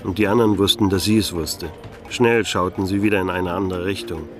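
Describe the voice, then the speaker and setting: An actor playing a disillusioned middle-aged private detective in a radio play, laconic and dryly sardonic, narrating in noir style. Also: male voice, low voice, steady tone, brisk, over music, close to an everyday voice. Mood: wry, brooding.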